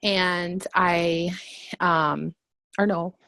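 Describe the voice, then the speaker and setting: A woman's voice: lowish voice, steady tone, extremely slow, pushed and bright, no room sound. Chatty thirty-year-old woman, giggly. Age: 30s. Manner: chatty, giggly, many fillers. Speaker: panelist sharing her business story. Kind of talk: casual personal testimony.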